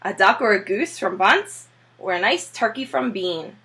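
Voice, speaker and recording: A woman's voice: mid-range voice, expressive, a little slow, pushed and bright. A woman voicing a courteous, coaxing male fox talking as a loving husband in a storybook.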